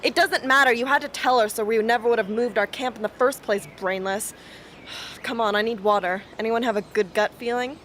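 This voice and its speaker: Woman acting defiant snappy girl survivor, radio drama, blunt, voice a bit high, faint birdsong. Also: a little fast, a bit bright, a little hiss or hum, close to an everyday voice. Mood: impatient. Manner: blunt, scornful. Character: abrasive, sarcastic, defiant young woman survivor.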